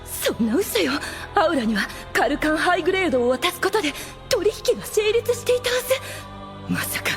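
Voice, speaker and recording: A woman's voice: very high voice, sing-song, moderate pace, soft background music, full character voice. A woman playing a proud, scheming demon woman in an anime audio drama, with theatrical, formal feminine speech. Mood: shocked.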